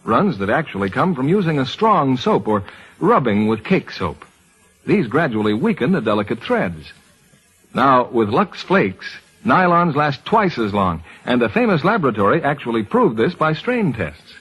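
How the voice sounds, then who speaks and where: American guy doing old 1940s radio ad copy, polished patter, lively pitch, slightly husky. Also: normal speed, poor audio. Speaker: radio announcer. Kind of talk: 1940s scripted radio commercial.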